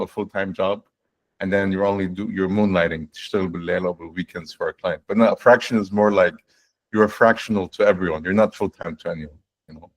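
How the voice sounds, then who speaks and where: A man in his forties, a guest on a video-call fireside chat, explaining his work in a relaxed way with frequent restarts and "right?". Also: lowish voice. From born in the United States, raised in Saudi Arabia and Canada. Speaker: guest, fractional CTO.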